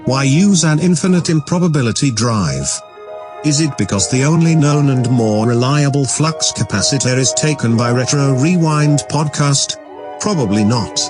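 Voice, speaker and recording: Over clearly audible music, a man narrates a comic sci-fi podcast intro. He has a mid-range voice and reads from a script in a mock-dramatic storyteller style. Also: mid-range voice, animated, normal speed. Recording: loud music under the voice.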